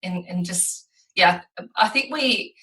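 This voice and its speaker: An Australian woman hosting a casual podcast chat, her voice low-pitched yet bright and forceful. She talks her way through ideas with plenty of restarts. She sits a little back from the mic. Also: slow, recorded from a distance, low-quality recording. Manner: chatty, searching for words, with frequent restarts. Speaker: podcast host.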